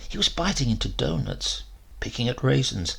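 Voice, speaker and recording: A man narrating a short story as a dramatic audiobook reading, with deliberate phrasing and emphasis. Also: mid-range voice, big pitch swings, creaky, a bit bright, slightly rough.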